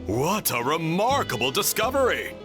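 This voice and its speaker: An actor playing a supportive, decisive village leader in a video game, articulate and reassuring. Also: male voice, a little high, big pitch swings, normal speed, over music. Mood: thoughtful.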